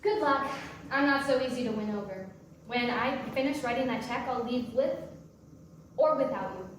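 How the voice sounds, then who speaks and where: A guarded, sardonic young divorced mother, played by a woman in a staged play reading. Her voice sits fairly high and comes out wry and halting. She is heard from far off in a very echoey room. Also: natural voice. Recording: distant, very echoey room with faint noise.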